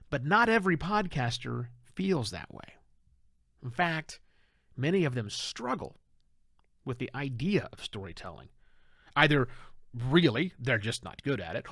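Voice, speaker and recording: Animated, high-pitched middle-aged male podcast host. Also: no echo, studio quality. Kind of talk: conversational monologue giving advice to podcasters.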